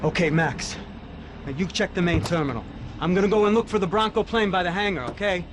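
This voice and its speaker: A man plays a streetwise sidekick in a game cutscene. His voice is high for a man, urgent and instructing, with clear vehicle noise around it. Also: a little fast, lightly acted. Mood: urgent, watchful. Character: brisk, streetwise male ally on a mission. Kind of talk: video game cutscene acting.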